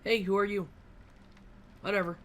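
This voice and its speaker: A woman giving casual let's play commentary on a game, low-key and musing aloud. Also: steady tone, moderate pace, a little hiss or hum. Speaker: gamer narrating a playthrough. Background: faint game music.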